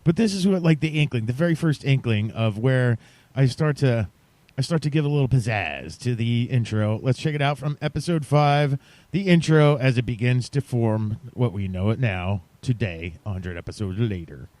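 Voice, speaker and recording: A man's voice: mid-range voice, lively intonation, normal speed, a little mellow, no room sound. Chatty man hosting a podcast, dry sound. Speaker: podcast host. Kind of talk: casual podcast chat addressed to listeners.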